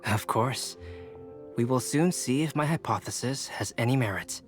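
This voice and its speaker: A woman voicing a composed, scholarly, self-assured adult in a video game, with a relaxed manner. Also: rumbling low, moderate pace, over music. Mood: calm.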